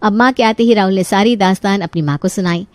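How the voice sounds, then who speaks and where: A woman narrating a scripted animated family story as its storyteller. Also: medium pitch, fast, no echo.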